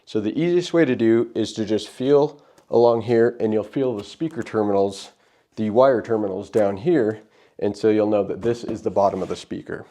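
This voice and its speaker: A man's voice: on the low side, moderate pace, dark tone. A man hosting an unboxing, giving casual, plain-spoken step-by-step setup instructions. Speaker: product unboxing host.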